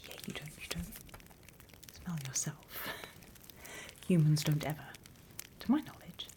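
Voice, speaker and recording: A British woman voicing a prim demon teacher in a soft, deliberate whispered ASMR roleplay. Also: deep voice. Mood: patient, instructive. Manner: soft, deliberate, instructional, with pauses. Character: female demon teacher, softly menacing, encouraging, prim.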